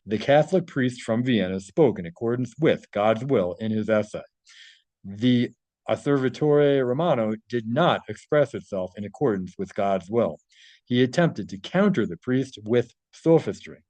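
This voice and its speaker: A man's voice: mid-range voice, expressive, moderate pace, a little mellow, no room sound, poor audio. A man reading a translated article aloud and adding his own informal commentary.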